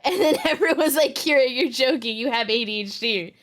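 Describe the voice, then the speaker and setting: Chatty young woman, higher voice. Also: fairly quick, a bit bright. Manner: chatty, lots of fillers and laughs. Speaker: streamer and podcast host. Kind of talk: casual chat.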